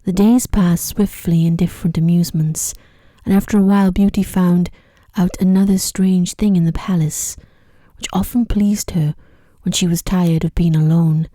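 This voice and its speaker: Female ASMR storyteller: lowish, soft and whispery, very mellow, gentle soothing delivery, dry sound. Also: fairly even intonation, moderate pace, no room sound. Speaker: narrator. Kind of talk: ASMR sleep story narration.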